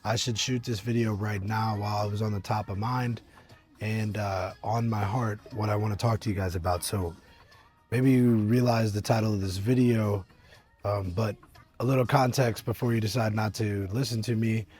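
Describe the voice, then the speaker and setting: A young man with a husky, deep voice, talking earnestly to camera outdoors at a winery, rambling with many fillers and restarts as a business coach. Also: steady tone, normal speed, darkish tone. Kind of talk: casual motivational talk to camera. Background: faint eighties music.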